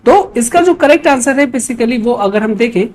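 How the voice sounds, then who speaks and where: An Indian woman teaches history for exam students in a mellow, soft-edged voice. She reads out questions and explains them in a mix of Hindi and English, in an instructional way with frequent little check-in tags. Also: on the high side, expressive, normal speed. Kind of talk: explanatory lecture mixing Hindi and English, reading out quiz questions.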